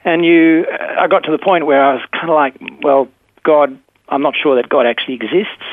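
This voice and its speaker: A middle-aged man from South Africa, a teacher and filmmaker, telling his story thoughtfully with many pauses and fillers over the phone from a school room. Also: fairly high pitch, fairly even intonation, dry recording. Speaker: interview guest, teacher and filmmaker. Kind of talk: phone interview, casual storytelling. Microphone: telephone. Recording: tinny dry phone line.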